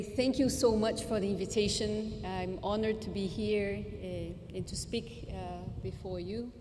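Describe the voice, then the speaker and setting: A woman from Brazil with a Brazilian accent, giving an earnest, reflective conference talk with hesitant restarts. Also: medium pitch. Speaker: conference speaker. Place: large conference hall. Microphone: handheld microphone. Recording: reverberant hall, faint background noise.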